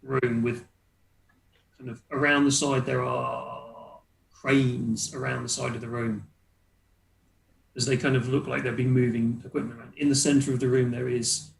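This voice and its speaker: A man narrating a tabletop game as game master, improvising and halting as he searches for words. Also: mid-range voice, little pitch movement, gravelly. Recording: roomy, echoing room sound.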